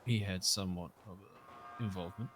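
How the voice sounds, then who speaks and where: A man in a roleplay game, talking hesitantly with many fillers and trailing off softly. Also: low-pitched, steady tone, quick pace, slight background noise. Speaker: roleplay player. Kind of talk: in-character roleplay chat.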